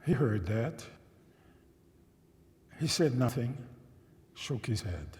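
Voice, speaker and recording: A man in his nineties, a native German and Czech speaker, recounting a personal memory to an audience in a thoughtful, measured way, often restarting phrases and asking listeners questions. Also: medium pitch, big pitch swings, darkish tone. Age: 90s. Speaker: guest speaker. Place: church sanctuary. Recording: reverberant church hall.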